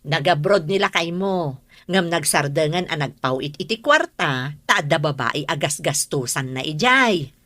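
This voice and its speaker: A woman's voice: sits low, lively intonation, measured pace, a bit bright, no echo, full character voice. A woman playing a concerned, plain-spoken older relative in a radio drama, with an Ilocano accent. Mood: reproachful, helpful.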